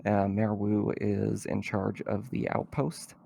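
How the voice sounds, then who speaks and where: A man running an online tabletop roleplaying game as game master, informal and halting as he sets the scene. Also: on the low side, level tone, creaky, warm tone, a little hiss or hum.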